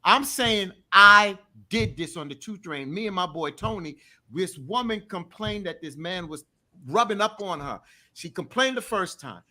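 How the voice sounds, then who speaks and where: Emphatic man with a deep voice. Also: expressive, unhurried, bright, forceful tone. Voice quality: deep bass voice. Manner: emphatic, storytelling, repeats himself for emphasis. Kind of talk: casual group discussion.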